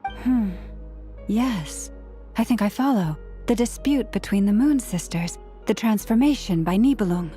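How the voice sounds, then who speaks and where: A woman voicing a composed, thoughtful young adventurer in a video game, calm, observant and deliberate. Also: mid-range voice, natural voice. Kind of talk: video game character voice acting. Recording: game music behind voice.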